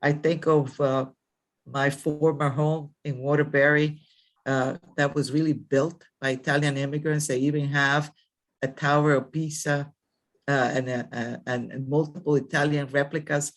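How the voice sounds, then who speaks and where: A woman's voice: low voice, little pitch movement, normal speed. An older woman from the Dominican Republic, a college president giving a rambling ceremonial speech over a video call, with many fillers and restarts.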